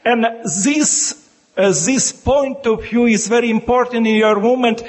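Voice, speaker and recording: A man from Ukraine speaking in halting, broken English with a Ukrainian accent, a bishop and monk addressing a meeting as guest speaker. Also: extremely high pitch. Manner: searching for words in broken English.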